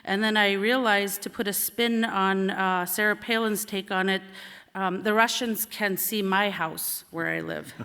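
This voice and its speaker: Woman from Alaska, native Siberian Yupik speaker, bright clear-cut tone, measured conference speech, pauses often, reverberant hall. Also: mid-range voice, steady tone. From St. Lawrence Island, Alaska. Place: large indoor conference hall. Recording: echoey hall sound.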